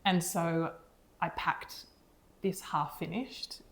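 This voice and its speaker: A woman's voice: lowish voice, fairly even intonation, slow pace. A young woman co-hosting a knitting podcast, talking enthusiastically and rambling with lots of restarts. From Australia. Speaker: podcast co-host. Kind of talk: casual chat.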